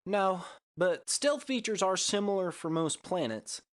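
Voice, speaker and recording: A woman's voice: on the low side, expressive, a little slow. A woman voicing a cocky, chatty, folksy male ace pilot in a space audio drama. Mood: breezy. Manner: breezy, boastful, folksy.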